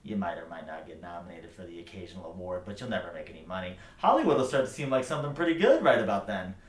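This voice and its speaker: An actor playing a bitter, brutally frank writing teacher in a theatrical monologue, speaking with scorn, emphasis and profanity. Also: male voice, low-pitched, expressive, fast. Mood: contemptuous. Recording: roomy echo, light background noise.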